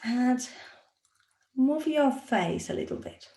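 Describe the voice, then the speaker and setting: A woman leading a guided meditation as a yoga nidra teacher, speaking in a soothing, instructional manner with long pauses. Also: high-pitched, animated, mellow. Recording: roomy, echoey sound.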